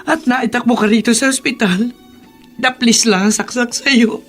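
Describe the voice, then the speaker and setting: A woman playing a gentle provincial mother, soothing and careful, in a Philippine radio drama. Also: on the high side, moderate pace, soft background music, close to an everyday voice. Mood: sorrowful consoling. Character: gentle, consoling middle-aged provincial mother.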